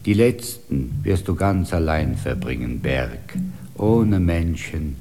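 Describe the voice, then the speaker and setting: An old, wise bear in a vintage radio play. His voice is low and dark. He speaks slowly and thoughtfully, with a calm, questioning manner. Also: full character voice. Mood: solemn. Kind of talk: classic radio play acting.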